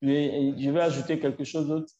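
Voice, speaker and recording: A man answering in a webinar interview, halting and repeating himself as he searches for words. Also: fairly high pitch, steady tone, warm tone. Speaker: association leader guest. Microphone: video call. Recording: echoey, muffled online call audio.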